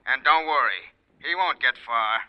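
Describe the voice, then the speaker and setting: Casting: man as businesslike sheriff, mid-pitched, no-nonsense, dull vintage radio recording. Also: lively intonation, clear, resonant tone, soft background music, lightly acted. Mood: brisk, official. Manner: brisk, matter-of-fact. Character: brisk, businesslike lawman answering the telephone. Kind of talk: old-time radio drama acting.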